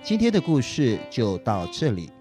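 A man's voice: medium pitch, wildly swooping pitch, normal speed. A man presenting a scripted closing narration for young listeners. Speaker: programme presenter, school principal. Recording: guitar music behind the voice.

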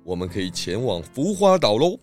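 Man as friendly pig detective, rather low voice, gentle, measured, soft music behind, children's audio drama. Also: sing-song. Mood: reassuring. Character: genial, food-loving pig detective.

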